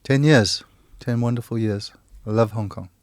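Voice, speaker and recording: A man's voice: mid-range voice, normal speed, darkish tone, no echo, studio quality. A man in his sixties from Kenya and Zimbabwe, a teacher and handyman, talking in a casual family interview with self-deprecating, chuckling humour.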